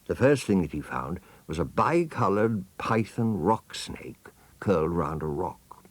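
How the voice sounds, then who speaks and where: A man with a low voice narrates a children's tale as an audiobook. His intonation rises and falls widely, relishing each phrase as he speaks straight to the listener. The sound is dry, without room echo. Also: sing-song, measured pace. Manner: theatrical, savouring words, addressing the listener. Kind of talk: expressive children's storybook narration.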